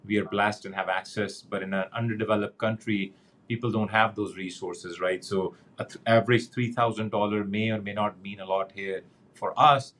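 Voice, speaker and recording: A man's voice: on the low side, steady tone, normal speed, fairly bright tone. A middle-aged man from Pakistan, a banking executive guest speaking earnestly in an interview, with frequent fillers and restarts. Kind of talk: conversational interview.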